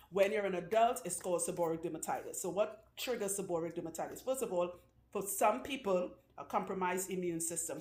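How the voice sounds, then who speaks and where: A woman's voice: on the low side, a little mellow, a little hiss or hum. A woman loc stylist hosting her channel, chatting casually and jokingly with viewers while she works on a client's hair in a salon room. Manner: chatty, jokey, outspoken. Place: indoor salon room with a couch. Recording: roomy indoor sound.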